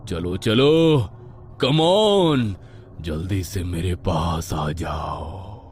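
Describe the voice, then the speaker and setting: A man's voice: low-pitched, lively intonation, darkish tone, a little hiss or hum, voice pushed down, full character voice. A man voicing a menacing undead young lover in a horror story, drawling mock-sweet, possessive endearments. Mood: possessive menace. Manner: drawn-out, mocking endearments with evil laughter. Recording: music behind the voice.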